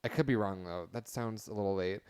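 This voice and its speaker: A man's voice: fairly low pitch, fairly quick, darkish tone, clear, resonant tone, no echo. A young man in a casual podcast debate, speaking in a wry, wordy, mock-formal way. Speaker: podcast panelist.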